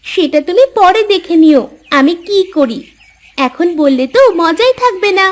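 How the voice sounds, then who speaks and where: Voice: very high voice, animated, unhurried. A performer voicing a cheeky, affectionate young farmer's daughter in a moral-story cartoon, teasing and a little bossy. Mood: playful.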